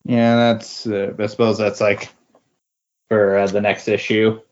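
A man's voice: lowish voice, level tone, measured pace, a little mellow. A man chatting casually about comic books as a podcast co-host, in a hesitant, agreeable way. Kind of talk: casual comic book chat. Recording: dull, lo-fi audio.

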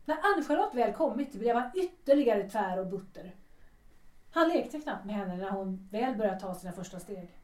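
An actress playing a wounded, sharp-tongued woman of thirty-three in a radio drama, challenging and formal in her address. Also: medium pitch, animated, far from the mic, close to an everyday voice. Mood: reproachful. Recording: echoey room, off the mic.

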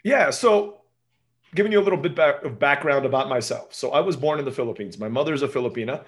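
A man's voice: medium pitch, quick pace. A man in his forties with an American accent, a native Turkish speaker raised in Turkey, telling stories as an interview guest and often tagging his sentences with "right?". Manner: rambling, tag questions like "right?". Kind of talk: casual interview talk.

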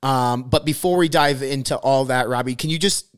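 A man's voice: mid-range voice, normal speed, clean tone. Casual male podcast host. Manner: casual, loose, swears.

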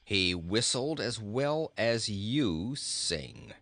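A man voicing a surly talking goat who grumbles with sarcastic, deadpan scorn in a storybook reading. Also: fairly low pitch, drawn-out words, dry recording, heavily acted. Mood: surly, grumbling. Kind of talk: storybook character voice.

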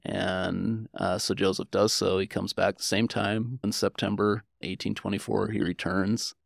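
A man's voice: lowish voice, little pitch movement, a little slow, vocal fry, dry recording, clean studio sound. A man speaking as a historian guest, explaining religious history in a conversational way.

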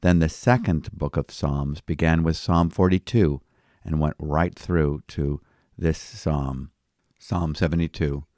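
A man speaking as a pastor, delivering scripted Bible commentary in a deliberate, preacherly manner. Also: extremely low pitch, a little mellow, no room sound, clean studio sound. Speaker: pastor and devotional commentary host.

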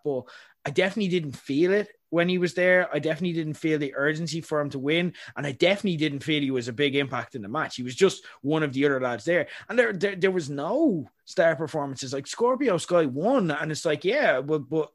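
A young adult man, hosting a podcast and giving rambling, opinionated takes. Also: a little high. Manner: rambling, opinionated, frequent fillers. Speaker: podcast host. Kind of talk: casual chat.

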